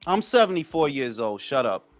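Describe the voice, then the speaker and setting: A man in his forties from New York, co-hosting a casual talk show and telling loud, profane stories. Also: medium pitch, moderate pace, no room sound, faint music behind. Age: 40s. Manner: animated, profane storytelling. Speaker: podcast co-host. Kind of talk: casual chat.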